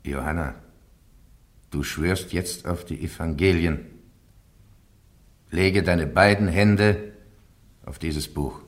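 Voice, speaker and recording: An actor playing a stern bishop presiding over a heresy trial, speaking in a solemn, formal, commanding way. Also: male voice, low-pitched, slow pace, long pauses, reverberant. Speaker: presiding judge.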